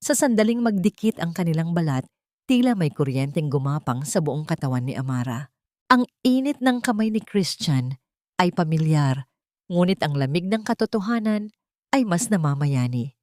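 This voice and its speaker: A woman's voice: fairly low pitch, very animated, normal speed, darkish tone, no echo, clean studio sound. A woman reading an emotional story as a dramatic scripted narration.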